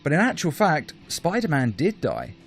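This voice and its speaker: Lively male countdown narrator over music. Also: fairly high pitch, normal speed, husky. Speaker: host of a top-five countdown video. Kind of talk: scripted narration, video essay commentary. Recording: music behind the voice.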